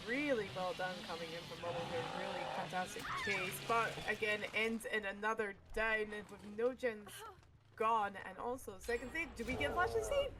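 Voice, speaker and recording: A woman commentating a live esports match with quick, excitable play-by-play, her voice getting worn. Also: mid-range voice. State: losing her voice. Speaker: esports caster. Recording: noisy stream audio.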